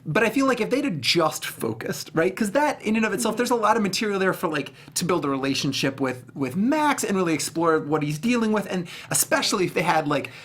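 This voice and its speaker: A man's voice: sits high, lively intonation, brisk, hardly any pauses, a bit bright. A man co-hosting a review show, chatting casually with opinionated, conversational film commentary, often checking in with his listeners. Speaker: co-host of a movie review show.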